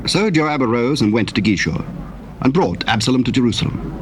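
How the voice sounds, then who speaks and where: A man with a husky voice narrating scripture in a solemn, formal storytelling style with archaic language. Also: medium pitch, expressive, a little fast, background noise.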